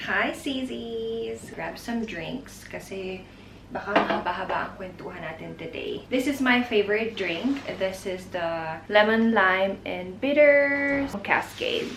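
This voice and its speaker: A woman's voice: medium pitch, lively intonation, measured pace, gravelly, noisy background. Young Filipino woman vlogger, casual chatty delivery, recorded off-mic in an echoey room. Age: 20s. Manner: chatty, mixing Tagalog and English, giggly asides. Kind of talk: casual Q&A vlog. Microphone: camera mic. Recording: roomy sound, mic at a distance.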